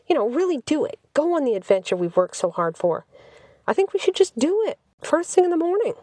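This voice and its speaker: A woman's voice: high voice, big pitch swings, fairly quick, dark tone, no room sound, voice pushed up. An eager young man as a woman narrator reads him in an audiobook. He is devoted and sincere, urging his case persuasively in a dry, close sound. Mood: pleading, eager.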